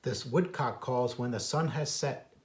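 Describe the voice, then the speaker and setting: A man's voice: medium pitch, unhurried, a little hiss or hum. American man reading a children's nature book. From United States. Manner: imitates bird calls in a singsong. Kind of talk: read-aloud storytelling with informal nature asides.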